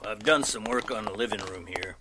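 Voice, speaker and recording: An actor playing a paranoid, folksy rural gun-store owner with a gruff drawl in an audio drama. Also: male voice, on the low side, a little fast, noisy background, close to an everyday voice. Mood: folksy, boastful.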